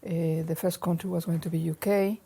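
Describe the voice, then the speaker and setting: A woman's voice: lowish voice, fairly even intonation, a little fast, vocal fry, dark tone, slightly rough. A woman from Mexico, giving brief, plain interview answers.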